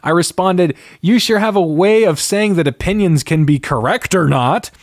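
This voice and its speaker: A man's voice: high-pitched, moderate pace, no room sound. A man hosting a podcast, talking in a casual, opinionated rant with an exasperated, sardonic manner and plenty of swearing. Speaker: podcast host.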